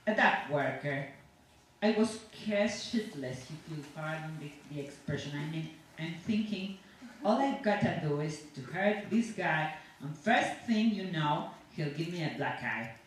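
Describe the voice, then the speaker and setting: A woman's voice: deep voice, animated, measured pace. A woman reading a story aloud as a chatty, self-satisfied, sinister dentist who rambles on with cheerful reassurance to a patient. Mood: chatty, anecdotal. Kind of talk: dramatic monologue read aloud to an audience. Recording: echoey room from far off, faint noise.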